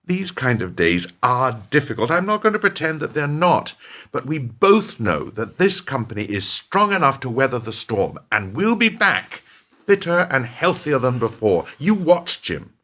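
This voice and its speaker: A man's voice: medium pitch, very animated, moderate pace, fairly bright tone. A man playing a defensive, hard-nosed older company boss in a radio play, arguing emphatically. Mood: reassuring, firm. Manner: argumentative, exasperated, emphatic. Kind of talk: radio play acting, dramatic dialogue.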